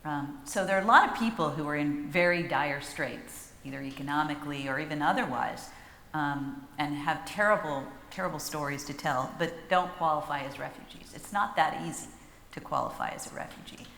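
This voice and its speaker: A woman with a low voice explains policy to a panel, measured and deliberate, often pausing on fillers. Her voice rings through a large hall with a long, roomy reverb and faint ambience behind it. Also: fairly bright tone, a little hiss or hum. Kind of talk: panel discussion.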